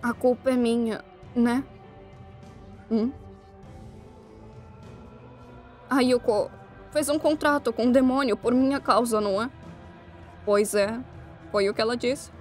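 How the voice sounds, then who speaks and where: A woman's voice: on the high side, fairly even intonation, unhurried, pauses often, lightly acted. A Brazilian woman voicing a troubled schoolgirl and the cold devil sharing her body, blunt and questioning, in an anime-style fan dub. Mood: anxious. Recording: music behind the voice.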